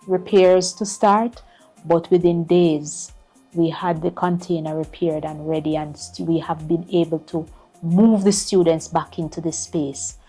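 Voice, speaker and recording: Slow, earnest woman. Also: fairly low pitch, warm tone, low-quality recording. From Jamaica. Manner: earnest, repeats words while finding them. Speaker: school principal. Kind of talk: interview answers.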